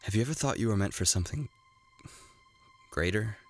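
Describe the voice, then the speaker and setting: A restless young apprentice in an animated drama, with a very deep voice. He talks haltingly and earnestly, an awkward dreamer, over faint music. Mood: wistful. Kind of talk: naturalistic animated series acting.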